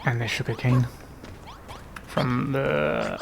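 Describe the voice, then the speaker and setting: A man with a gravelly, fry-edged voice commentates casually on a game. He mutters and thinks aloud as he goes, at an ordinary male pitch. A light layer of noise sits in the recording. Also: huge pitch swings, slow. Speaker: gaming video host.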